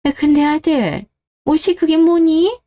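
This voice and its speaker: High-voiced, scolding woman as a domineering mother-in-law. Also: lively intonation, unhurried, warm tone. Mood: suspicious, disapproving. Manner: scolding, accusatory. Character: domineering, scornful middle-aged mother-in-law, fiercely possessive of son. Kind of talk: scripted Korean online radio drama.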